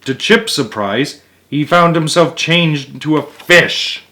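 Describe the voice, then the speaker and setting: A man's voice: on the high side, animated, slow, pushed and bright. A man reading a children's picture book aloud, breaking off for casual chatty asides and sometimes stumbling and restarting words. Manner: chatty asides and small chuckles between passages.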